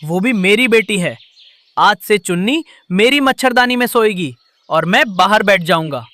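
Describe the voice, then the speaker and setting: Casting: stern protective storybook father, played by a woman, sharp, forceful, commanding. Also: medium pitch, animated, moderate pace, dry recording, close to an everyday voice. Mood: angry, firm. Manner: firm, commanding. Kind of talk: dramatized cartoon storytelling.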